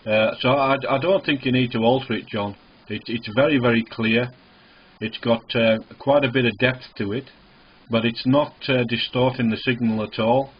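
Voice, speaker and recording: Male amateur radio operator, casual and reassuring, slightly husky, dull lo-fi sound. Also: mid-range voice, fairly even intonation, moderate pace. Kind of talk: casual ham radio contact with call signs. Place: small indoor radio shack. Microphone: small camera near the radio's speaker. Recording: dull, muffled camcorder recording in a radio shack.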